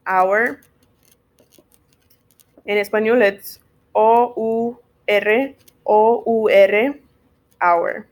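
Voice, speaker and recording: Slow, spelling woman switching Spanish and English. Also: mid-range voice. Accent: Spanish-speaking learner of English. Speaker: teacher of English sight words. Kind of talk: bilingual spelling lesson.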